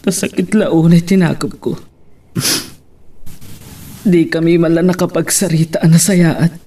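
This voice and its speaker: Man acting a heartbroken, soft-toned young Ilocano lover. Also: fairly high pitch, steady tone, unhurried. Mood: hurt, regretful. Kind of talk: Ilocano radio-style melodrama acting.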